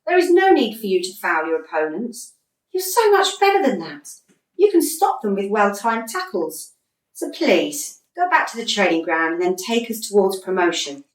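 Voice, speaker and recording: Radio comedy: exasperated but patient female football coach played by a woman, articulate, swooping pitch, echoey room. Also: very animated, moderate pace, lightly acted. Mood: earnest, encouraging. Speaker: actor in a radio play. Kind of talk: radio comedy drama acting. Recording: roomy echo, distant from mic.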